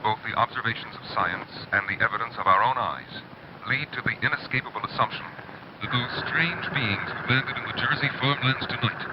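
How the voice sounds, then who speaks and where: A male actor plays a solemn news announcer in a 1930s radio drama. His mid-range voice is formal and grave. The recording is dull and clearly noisy. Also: mid-range voice, close to an everyday voice. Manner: formal, grave, measured emphasis. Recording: dull old broadcast, clear noise.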